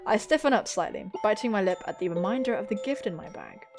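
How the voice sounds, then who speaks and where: Animated young woman playing a visual novel. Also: medium pitch, fast. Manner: excitable, giggly, dramatic outbursts, sweary. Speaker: gaming video host. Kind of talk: let's play of a visual novel, reading text aloud with excited commentary. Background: music.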